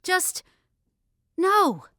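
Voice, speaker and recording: A woman playing an excitable, stubborn sixteen-year-old girl with emphatic, dramatic delivery in an audiobook. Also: very high-pitched, drawn-out words, heavily acted. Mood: alarmed disbelief. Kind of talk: audiobook character dialogue.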